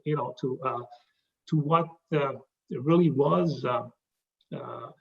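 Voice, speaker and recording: A man with an Italian accent giving a pitch presentation, talking haltingly with many fillers and restarts. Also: creaky, far from the mic, lo-fi sound. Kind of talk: pitch presentation to a live audience.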